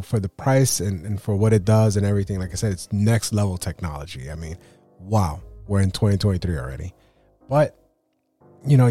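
A man's voice: lowish voice, warm tone, dry recording. A man with a cold hosting a photography podcast, rambling in a casual rant full of "you know" and "right?". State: sick with a cold.

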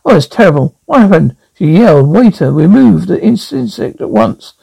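Brash nightclub comic, gravelly, wisecracking, mocking. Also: male voice, high-pitched, big pitch swings, normal speed, dark tone, dry recording, heavily acted, rough recording. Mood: sneering. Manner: wisecracking, insulting the crowd. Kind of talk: TV drama.

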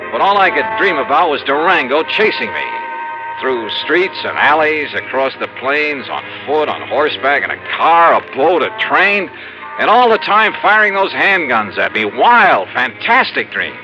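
A man's voice: mid-range voice, very animated, lightly acted. An American man playing a harried, wisecracking insurance investigator in a 1950s radio detective drama. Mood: wry, harried. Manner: brisk, wry first-person storytelling. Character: wisecracking, harried male insurance investigator narrating his case. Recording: dull vintage radio recording, music.

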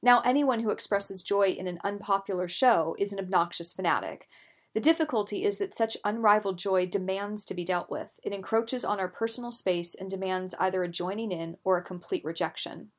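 A woman narrating scripted nonfiction as an audiobook reader, in a measured, articulate way. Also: mid-range voice.

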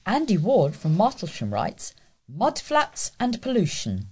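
A woman reading local news and letters aloud as a volunteer, in a deliberate, scripted delivery. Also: medium pitch, wildly swooping pitch, slightly rough. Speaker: volunteer newspaper reader.

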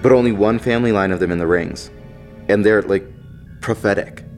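A man's voice: lowish voice, a little fast, faint music behind, close to an everyday voice. A man playing a wry, loyal runaway nobleman turned spaceship crewman, talking casually and teasingly in a science fiction audio drama. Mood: probing.

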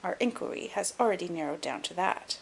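Gentleman sleuth read by a woman, composed and dry, articulate storybook dialogue with a lively, varied melody. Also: on the low side, fairly quick, vocal fry, a little hiss or hum. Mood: deductive, intent. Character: shrewd, composed gentleman detective, dryly superior. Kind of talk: audiobook character acting.

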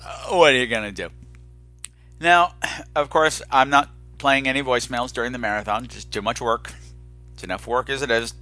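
A man's voice: medium pitch, moderate pace, sharp tone, dry recording, soft background music. A man hosting a podcast solo in a casual, chatty, campy and playfully dramatic way, rambling and self-deprecating. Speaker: podcast host.

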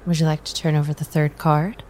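A woman playing a calm, enigmatic tarot reader in an improvised audio drama, speaking in a measured, soothing and formal way. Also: sits low, close to an everyday voice. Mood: reassuring. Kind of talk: improvised radio drama acting. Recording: faint background ambience noise.

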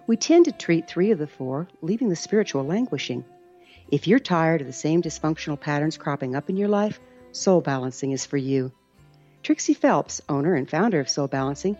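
A woman reading a scripted promotional announcement. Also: low-pitched. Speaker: advertisement announcer. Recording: slightly dull recording, soft music bed.

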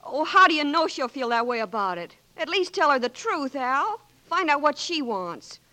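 A soft-hearted, persuasive nurse, her high, bright voice rising and falling a lot as she coaxes. It is classic 1940s radio drama acting. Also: very animated, moderate pace, exaggerated voice. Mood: coaxing. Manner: gently persuasive. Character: gentle, coaxing hospital nurse.

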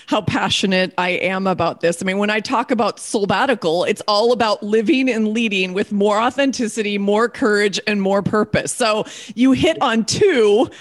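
A woman hosts a podcast in a mid-range voice, talking fast and gushing with enthusiasm. She fills her chat with "like" and "you know" as she interviews a guest over a call. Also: mid-range voice. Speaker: podcast host.

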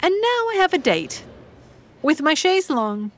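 A woman's voice: high voice, sing-song, a little slow. An actress playing a shrewd, genteel middle-aged mother in an audio drama, speaking formally and precisely with dry, sceptical wit. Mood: firm, arch. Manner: formal, precise, dryly pointed.

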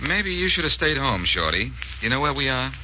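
An actor playing a confident, wisecracking amateur detective with a brisk, sardonic manner in 1940s radio drama style. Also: male voice, mid-range voice, big pitch swings, bright, forceful tone. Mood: wry, brisk. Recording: muffled, hissy old-time radio broadcast.

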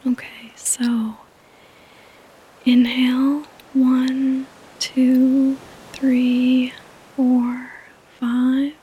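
Female meditation podcast host, slightly high but hushed and breathy, soothing, very slow. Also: completely flat delivery, a little hiss or hum, poor audio. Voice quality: breathy, often near a whisper. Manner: soothing, gentle, unhurried. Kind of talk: soft-spoken guided sleep meditation.

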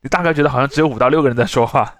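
A man's voice: medium pitch, rapid-fire, fairly bright tone, no room sound, studio quality. A man hosting a podcast, chatting casually and explaining things through examples. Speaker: podcast host. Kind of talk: casual chat.

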